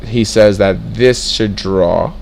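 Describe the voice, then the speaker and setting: A young man teaching chess in a casual way, reading out moves and breaking into excited exclamations as he works through the position. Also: on the low side, slow, vocal fry, a little hiss or hum. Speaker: chess tutor. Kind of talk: casual screen-share lesson, thinking aloud.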